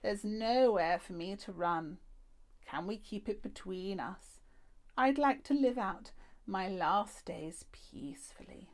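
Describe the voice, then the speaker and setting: A woman's voice: medium pitch, expressive, slow pace. A woman voicing a grand, eccentric old woman who drawls and calls everyone darling. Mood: confiding.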